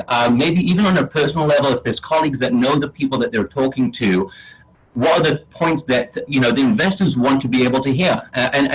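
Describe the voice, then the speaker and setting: A man with a Geordie accent, an investor on a webinar panel. His voice is a little husky, and his steady middle pitch keeps stumbling over restarts and fillers as he talks. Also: rough recording. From Newcastle, England. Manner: halting, many restarts and fillers. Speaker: venture investor.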